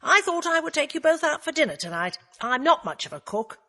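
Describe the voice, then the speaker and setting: A woman voicing a brisk, prickly middle-aged woman with a curt way of speaking. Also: sits high, very animated, pushed and bright, pitched above the performer's own voice, heavily acted.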